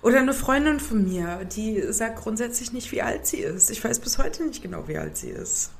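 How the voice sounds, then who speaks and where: A woman's voice: on the high side, expressive, gravelly. Woman with a cold, hosting. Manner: thoughtful, musing, slow stretches. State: has a cold. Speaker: podcast host. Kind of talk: casual podcast chat.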